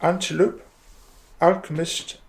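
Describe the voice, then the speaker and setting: A man playing an earnest, gentle poetry workshop member in a radio drama, precise and instructive. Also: on the high side, little pitch movement, slow pace, creaky.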